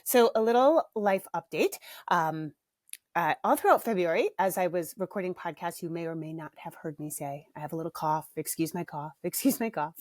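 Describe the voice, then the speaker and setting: A woman's voice: fairly low pitch, moderate pace, a little mellow, dry recording, studio quality. A middle-aged woman hosting her own podcast in a candid, chatty way, laughing easily and with a slight cough. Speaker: podcast host and parenting author. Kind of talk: casual solo podcast chat.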